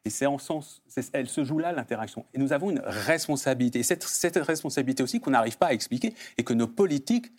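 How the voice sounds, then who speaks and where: A man's voice: medium pitch, lively intonation, fast, hardly any pauses. A man debating off the cuff, earnest and emphatic, building his argument by repeating phrases. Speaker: panel debater. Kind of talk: unscripted intellectual debate.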